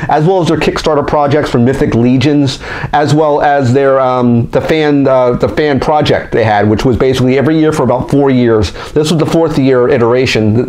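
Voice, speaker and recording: A man's voice: medium pitch, darkish tone. A man hosting a toy collector vlog, talking casually and candidly into a desk microphone, often restarting and voicing his frustrations. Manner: chatty, candid, frequently restarts and vents frustration. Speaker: toy collector channel host. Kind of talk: casual monthly update vlog.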